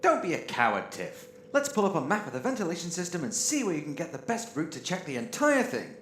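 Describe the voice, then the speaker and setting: A man's voice: a little high, wildly swooping pitch, quick pace, fairly bright tone. A man playing a bossy, sarcastic spaceship crewman in a sci-fi audio drama, badgering others with emphatic delivery. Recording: echoey room with faint noise.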